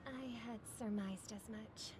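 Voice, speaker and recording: An actress playing a gentle, idealistic young sister in a video game, earnest and soft-spoken, with careful diction. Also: female voice, medium pitch, a little slow, lightly acted. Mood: wistful, resolute. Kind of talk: dramatic video game voice acting. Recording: music behind the voice.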